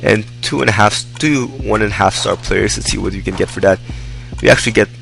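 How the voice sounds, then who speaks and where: A young man with a hoarse voice giving rambling, upbeat commentary over his own gameplay. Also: on the low side, a little fast, a bit bright, faint music behind, poor audio. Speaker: gaming video host. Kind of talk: casual game commentary. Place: indoors, hot room.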